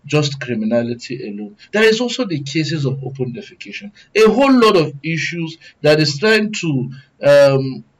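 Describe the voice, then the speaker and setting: Deliberate Nigerian man, slightly high voice. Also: animated, low-quality recording. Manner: deliberate, emphatic, oratorical, repeats phrases for weight. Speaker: guest commentator, good governance advocate. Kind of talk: serious panel commentary.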